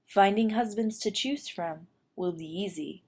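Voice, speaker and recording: A woman voicing a shrewd old cockroach grandmother in a storybook, giving advice in a measured, knowing way. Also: medium pitch, darkish tone, breathy edge. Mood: assured.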